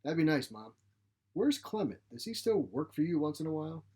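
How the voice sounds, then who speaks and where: A man's voice: fairly high pitch, big pitch swings, a little fast, a little mellow, breathy edge, voice pushed up. Man playing a mild older professor son. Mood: casual. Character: mild, spineless 62-year-old professor son. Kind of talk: short story character reading.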